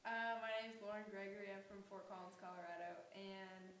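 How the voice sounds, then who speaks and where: A young woman runner chatting in a relaxed way during a group interview. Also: medium pitch, fairly quick, slight background noise. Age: young adult. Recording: echoey room, crowd, distant.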